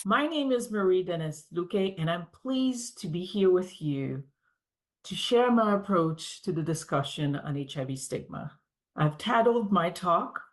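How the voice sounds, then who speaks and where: Haitian-accented woman in her forties, slow, careful conference speaker with a lowish voice. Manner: measured and deliberate, as if reading. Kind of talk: prepared conference presentation.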